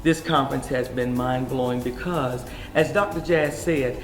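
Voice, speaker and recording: A woman bishop giving an animated, preacherly testimonial. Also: low voice. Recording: echoey hall.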